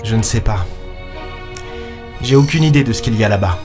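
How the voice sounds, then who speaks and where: A man's voice: on the low side, a little fast, natural voice. Man playing a world-weary space captain over music. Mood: wary. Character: world-weary male space captain, last human in the galaxy. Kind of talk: science-fiction audio drama, first-person narration and dialogue. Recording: music under the voice.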